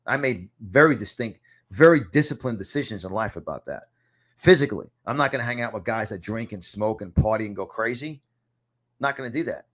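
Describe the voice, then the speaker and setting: A punchy motivational coach in his fifties, talking in a New York accent in a middle-pitched voice. He hammers his points home, repeating lines for emphasis. The sound is from a small home studio. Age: 50s. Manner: emphatic, repeats phrases for effect. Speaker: success coach. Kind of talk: motivational lesson to camera.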